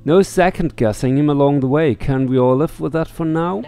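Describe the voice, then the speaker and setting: A man voicing a friendly, earnest exile in a fantasy game, a calm peacemaker with an encouraging manner. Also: fairly high pitch, moderate pace, clean tone, soft background music, close to an everyday voice. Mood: earnest, reassuring. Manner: encouraging, sincere.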